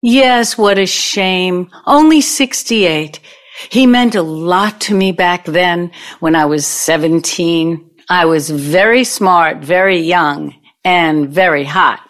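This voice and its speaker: A woman's voice: on the low side, slow pace. A woman playing a brash, nostalgic, scheming older former girlfriend in an audio play, with a knowing, theatrical manner. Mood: wistful, sly.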